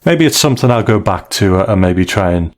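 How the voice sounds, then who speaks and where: Low-voiced man hosting a casual podcast. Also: expressive, brisk. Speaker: podcast host. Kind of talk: casual review and story recap.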